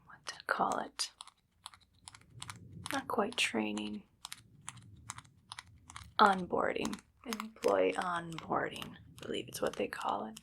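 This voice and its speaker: A woman playing a menacing, condescending evil doctor in a soft, whispered ASMR roleplay, speaking close to the mic with deliberate phrasing. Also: mid-range voice, gravelly, background noise. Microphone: close ASMR microphone.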